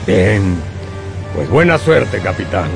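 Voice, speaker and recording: A gravelly one-armed English captain. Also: male voice, deep voice, very animated, takes it slowly, full character voice. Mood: wary. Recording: radio drama over music.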